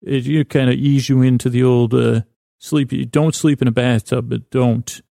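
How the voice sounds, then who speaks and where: A man hosting a bedtime podcast, giving a meandering, halting, self-deprecating monologue that keeps trailing off mid-thought. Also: medium pitch, flat delivery, gravelly, mellow, dry recording, studio quality. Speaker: podcast host.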